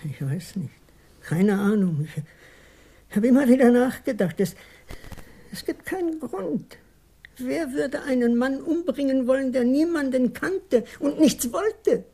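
A woman's voice: high-pitched, lively intonation, unhurried, dark tone, slightly rough, exaggerated voice. A woman playing a nervous, evasive small-time police informant in a German radio crime drama, hesitating and repeating words defensively. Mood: nervous, unsure.

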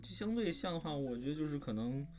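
A man speaking as a voice actor guest in a casual panel interview, informal, animated and playful in how he talks. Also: fairly high pitch, fast talker. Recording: music playing behind the voice.

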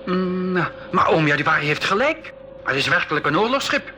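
A man's voice: high voice, big pitch swings, moderate pace, pushed and bright, a little hiss or hum, heavily acted. A man acting an eager, plucky boy, the skipper's nephew, in a 1980s school radio play.

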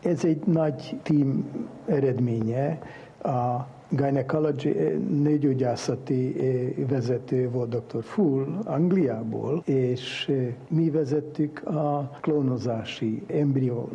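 A man playing an earnest foreign geneticist in a mock interview, speaking broken Hungarian with a foreign accent, haltingly mixing in English terms. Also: mid-range voice, mellow, natural voice. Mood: earnest, explaining. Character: earnest foreign cloning scientist from an island dictatorship, broken Hungarian. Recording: muffled lo-fi with faint background noise.

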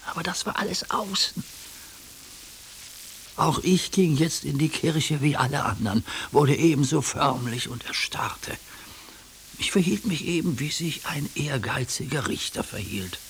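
An actor in a German radio play playing an anguished ageing judge who speaks for his neglected heart in a halting, hushed confession. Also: on the high side, lively intonation. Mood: bitter, sorrowful. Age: older. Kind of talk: German radio play acting, intimate monologue. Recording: hissy radio play recording.

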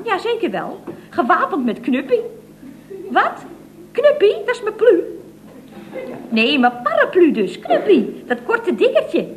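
A feisty, talkative secretary in an old radio comedy. Her voice is high and swoops up and down in a sing-song chatter. The old recording carries faint noise. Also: exaggerated voice. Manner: chatty, sing-song, full of tag questions. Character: chatty, indignant single female secretary, feisty and quick-tempered. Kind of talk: 1970s radio comedy acting.

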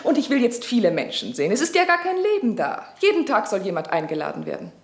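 An actress playing a bored, restless young society wife in a radio drama. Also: female voice, medium pitch, very animated, quick pace.

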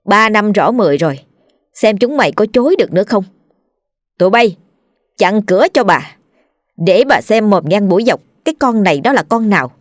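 A woman voicing a furious, jealous middle-aged village chief's wife who screams shrill insults. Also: expressive, drawn-out words, hardly any pauses, sharp tone, no echo, clean studio sound, full character voice. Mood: commanding.

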